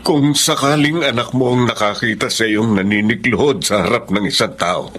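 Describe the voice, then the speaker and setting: A man acting as a humble father in a radio soap, with a middle-pitched voice. He is a steadfast, poor family man, played as dramatic dialogue. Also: normal speed, fairly bright tone, close to an everyday voice. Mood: pleading.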